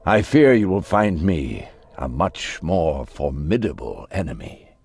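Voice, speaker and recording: An actor playing an aristocratic elder vampire villain in a video game, theatrical and mock-courteous, with a gravelly, husky voice. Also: male voice, extremely low pitch, sing-song, unhurried, a little mellow, full character voice. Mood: smug, threatening. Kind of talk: video game cutscene acting.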